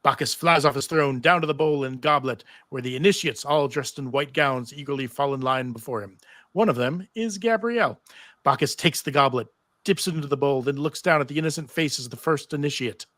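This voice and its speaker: A man's voice: medium pitch, fairly quick, pushed and bright, no echo. A man narrating stage directions in a live table read of a TV script.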